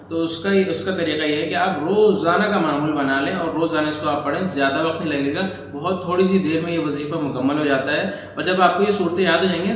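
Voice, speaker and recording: A man's voice: a little high, a bit bright. A man giving earnest, instructive devotional guidance as a religious channel host, repeating points for emphasis. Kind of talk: devotional instruction to camera or audience. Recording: heavy echo with long hall reverb.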